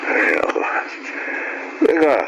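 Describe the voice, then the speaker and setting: An actor playing a kindly, generous older Filipino gentleman in a radio drama. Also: takes it slowly, noisy background, lightly acted. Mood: warm, concerned.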